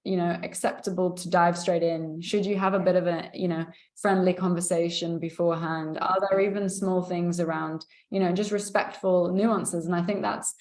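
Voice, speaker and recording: Podcast host: British woman, voice a touch low, chatting through interview questions and reflections. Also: fairly even intonation, normal speed, hardly any pauses, darkish tone. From England.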